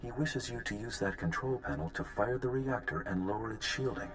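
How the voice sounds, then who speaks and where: A man voices a wise old-world teacher creature for a video game. He speaks with formal, measured patience at a middle male pitch, while music plays clearly behind him. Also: close to an everyday voice. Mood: patient, grave. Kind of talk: video game cutscene acting.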